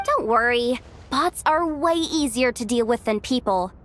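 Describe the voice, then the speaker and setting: A woman playing a young, anxious, chatty tech tinkerer in an anime game, expressive and nervously self-deprecating. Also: on the high side, unhurried. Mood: reassuring. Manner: nervous, expressive muttering to herself.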